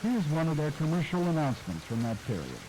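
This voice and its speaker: A man giving scripted documentary narration in a measured, articulate manner. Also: on the high side, sing-song, background noise.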